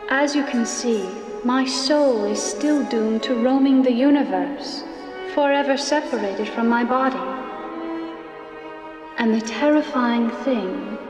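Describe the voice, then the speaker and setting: A gentle, solemn ghost who pleads slowly and with calm conviction. Her unhurried voice sounds from far off in a long, echoing hall, with music playing clearly underneath. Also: on the high side. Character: ghost of a murdered gentle woman. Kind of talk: 1990s game cutscene acting. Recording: distant echoey hall with music.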